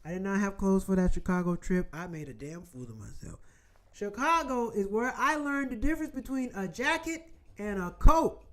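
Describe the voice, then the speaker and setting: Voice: mid-range voice, lively intonation, fairly bright tone. A host from Florida chatting casually with viewers, rambling through loose asides and swearing freely. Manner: rambling, salty, talks in quick asides. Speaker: show host.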